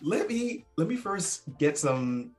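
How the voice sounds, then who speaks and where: A man's voice: a little high, sing-song, takes it slowly. A man co-hosting an interview show, chatting informally and repeating short phrases for emphasis. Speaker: podcast co-host. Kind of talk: casual conversational interviewing.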